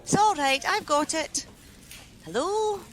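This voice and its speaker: A woman's voice: very high voice, animated, takes it slowly, a little hiss or hum, full character voice. A woman playing a flustered, well-meaning helpline office worker in a naturalistic radio play, speaking hesitantly.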